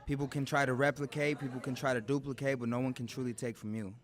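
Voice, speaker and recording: A man speaks in a mid-pitched voice. He answers an interview question reflectively, laying out each point as a measured statement. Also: flat delivery.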